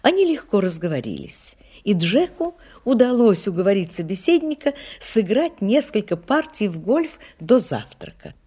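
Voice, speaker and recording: A woman's voice: medium pitch, big pitch swings, moderate pace, hardly any pauses, warm tone, slightly rough. A woman narrating an audio drama from a script, in a storytelling style.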